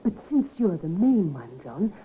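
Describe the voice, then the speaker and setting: An actress playing a frail, earnest middle-aged daughter in an old-time radio drama, gentle but insistent. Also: female voice, on the low side, big pitch swings, measured pace. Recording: noisy, narrow-band vintage radio recording.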